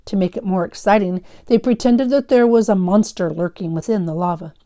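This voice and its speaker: A woman's voice: mid-range voice, brisk, dark tone. A woman teaching fifth grade, explaining instructively and reading a story aloud to pupils.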